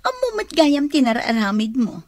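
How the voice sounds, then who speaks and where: A woman's voice: sits high, a little mellow, no room sound, heavily acted. A woman playing a villain's sly sweetheart and accomplice in an Ilocano radio comedy-drama.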